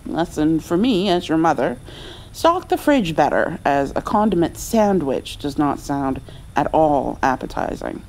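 A woman playing a caring, playful mother in an intimate ASMR roleplay, soothing her grown child with gentle, halting speech and endearments. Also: low-pitched, very animated, full character voice, rough recording. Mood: wry, affectionate. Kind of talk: intimate comfort ASMR roleplay, acted as one side of a conversation.

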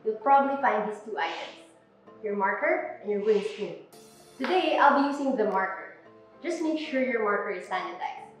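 A young woman giving clear step-by-step instructions on wine bottles, in an instructional demo recorded indoors. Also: medium pitch, expressive, normal speed, soft background music. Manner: clear, plain step-by-step explaining. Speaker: wine presenter. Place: indoor room with a table. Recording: very echoey room.

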